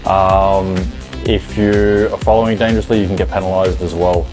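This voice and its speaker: Low-voiced man walking through competition rules, music underneath. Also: fairly even intonation, normal speed. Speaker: rules explainer. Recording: with loud music bed.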